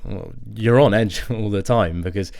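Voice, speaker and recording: An actor playing a weary, anxious new father in an audio drama, speaking haltingly with nervous laughs and throat clearing. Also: fairly low pitch, darkish tone, lightly acted. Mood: weary, exasperated. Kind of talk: naturalistic audio drama acting.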